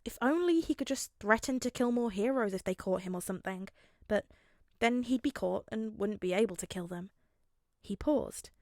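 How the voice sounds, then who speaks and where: A woman's voice: medium pitch, normal speed, darkish tone, no room sound, studio quality. A woman reading scripted story narration in the style of an audiobook. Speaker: fan fiction narrator.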